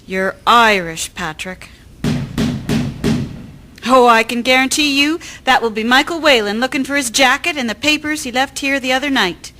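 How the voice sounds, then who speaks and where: A woman playing a no-nonsense farm wife in a historical radio drama, with a brisk, wry, homely manner. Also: high voice, bright, forceful tone, a little hiss or hum. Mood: wary, scolding. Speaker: amateur voice actor, storyteller.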